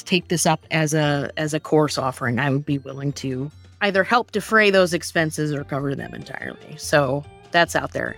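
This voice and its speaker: A woman hosting a podcast in casual chat, with a joking, tongue-in-cheek manner. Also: lowish voice. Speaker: podcast host and sketch writer.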